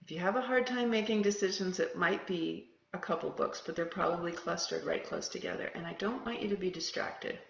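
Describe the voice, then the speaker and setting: A guide leading a meditation in a gentle, unscripted manner with short, paused phrases and a creaky voice. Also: mid-range voice. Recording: roomy, echoing, slightly dull sound.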